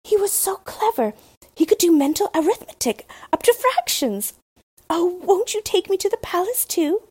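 A woman's voice: very high voice, sing-song, normal speed, a little mellow, voice pushed up. A woman voicing an earnest, devoted little girl in a storybook reading. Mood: pleading. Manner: eager and pleading.

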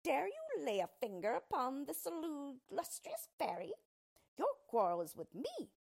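Woman doing a high, furious little dwarf villain. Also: huge pitch swings, measured pace, gravelly, a little mellow, exaggerated voice. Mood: angry, taunting. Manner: defiant, taunting. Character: furious boastful male yellow dwarf, small storybook villain.

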